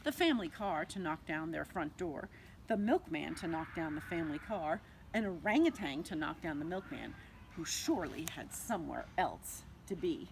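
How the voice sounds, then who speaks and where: A woman reading a picture book aloud to young children like a nursery teacher, expressively, with dramatic pauses and drawn-out words. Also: sits low, expressive, a little hiss or hum, low-quality recording.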